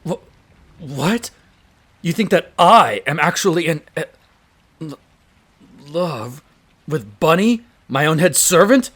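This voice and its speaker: A man's voice: a little high, expressive, slow pace, pauses often, a bit bright, full character voice. A man playing a proud, imperious vampire heir in an audio roleplay, speaking in formal, haughty phrases. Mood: flustered, indignant. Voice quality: haughty, clipped formal diction.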